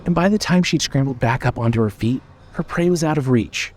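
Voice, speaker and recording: A man reading scripted story narration in a measured, expressive, dramatic way. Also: medium pitch.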